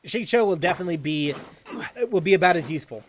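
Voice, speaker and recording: A man's voice: fairly high pitch, animated, fast talker. A man streaming a game live, thinking aloud as he plays and answering viewers' questions in a loose, chatty way. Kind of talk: live gameplay commentary.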